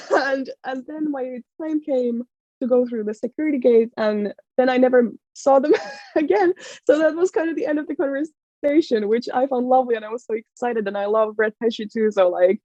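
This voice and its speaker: A woman with a strong Finnish accent and a fairly high, mellow voice tells a story in casual chat. She talks excitably, with many fillers, restarts and laughs. The sound is dry and a little dull. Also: moderate pace, no room sound. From Finland. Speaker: podcast guest.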